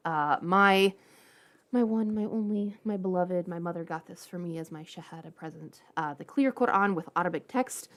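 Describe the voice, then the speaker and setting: Female streamer, slightly low voice, informal and playful, talking off the cuff to viewers. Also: normal speed. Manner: playful, informal, chatty asides. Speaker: livestream host. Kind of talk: casual livestream chat.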